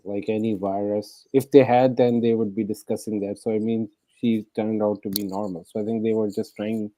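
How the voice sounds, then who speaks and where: A man who lives in Canada and speaks English with a non-native accent, chatting in a casual discussion, animated and questioning, with many fillers. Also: lowish voice, dry recording, poor audio. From abroad, lives in Canada.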